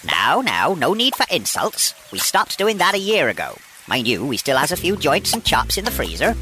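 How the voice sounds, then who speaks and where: Man doing a loony old village woman, 93, bright and swooping, rustic grammar. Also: on the high side, huge pitch swings, normal speed, soft background music, full character voice, low-quality recording. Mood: teasing. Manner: rustic broken grammar, teasing, calls him "boy". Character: nosy 93-year-old village woman, eccentric. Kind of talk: comic radio sketch acting.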